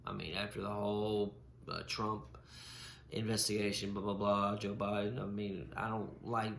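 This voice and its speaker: Man, stutters, gravelly low voice, casual podcast co-host; echoey room, faint hiss. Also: little pitch movement, normal speed, fairly bright tone. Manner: stutters, repeating and breaking off sounds. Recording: roomy echo with faint noise.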